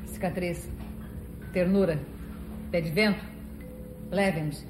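An actress in her thirties playing a cold, commanding gang-boss villainess in a 1970s telenovela, imperious and deliberate. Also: fairly low pitch, fairly even intonation. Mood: cold menace. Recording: echoey old TV studio, music behind.

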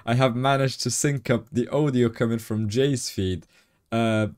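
A man from Morocco hosting a casual podcast interview. His voice is mellow and dark, and he sounds eager and quick to laugh, cheering on his guest. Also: mid-range voice, steady tone, clean tone. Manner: enthusiastic, laughs often. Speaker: podcast host.

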